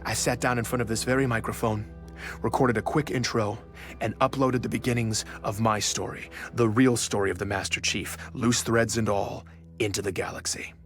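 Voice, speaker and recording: A man's voice: lowish voice, fairly even intonation, normal speed, a bit bright, lightly acted. A man playing a proud, wronged journalist, telling his story in a confiding, wry first-person audio drama narration. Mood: bitter, resolute. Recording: music under the voice.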